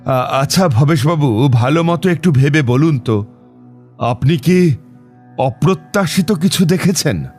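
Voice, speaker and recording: Man voicing a slow, grave tantric ghost-story teller. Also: fairly high pitch, animated, a little mellow. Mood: grave, steady. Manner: slow, suspenseful, deliberate pauses. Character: grave male tantric priest recounting his own ghost encounter. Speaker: narrator and lead character. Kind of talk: dramatic scripted horror narration. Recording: long reverb, music bed behind. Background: clear background music.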